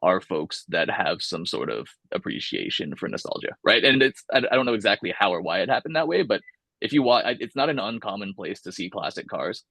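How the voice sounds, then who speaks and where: A man's voice: lowish voice, sing-song, fairly quick, creaky, pushed and bright, dry recording. A young man from California, talking casually and thoughtfully in an oral history interview, with many fillers and restarts.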